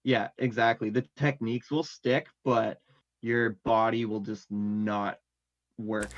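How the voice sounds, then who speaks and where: A man's voice: mid-range voice, level tone, slow. A man chatting casually as an interview guest about his music, rambling and full of fillers. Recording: dull lo-fi audio.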